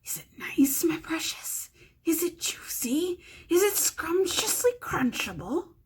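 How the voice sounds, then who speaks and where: A woman's voice: big pitch swings, takes it slowly, exaggerated voice, rough recording. A woman voicing a greedy, wretched male cave creature who whispers, hisses and shrieks. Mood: wheedling. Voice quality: whispery hissing voice, shrill cries.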